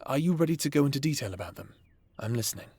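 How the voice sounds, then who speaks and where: A man playing a patient government therapist in an audio roleplay, with measured, clinical and formal diction, close on the mic. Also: mid-range voice, animated, darkish tone, breathy edge. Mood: measured, probing. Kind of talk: cinematic audio roleplay acting, addressing the listener. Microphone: close, dry studio mic.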